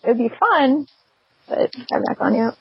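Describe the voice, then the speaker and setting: A woman from the Detroit area chats on a podcast, rambling and hesitant. Her voice is husky. The line is dull and lo-fi, and the room is dry. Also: fairly high pitch, expressive, normal speed, dry recording. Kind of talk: casual chat. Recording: dull lo-fi online call.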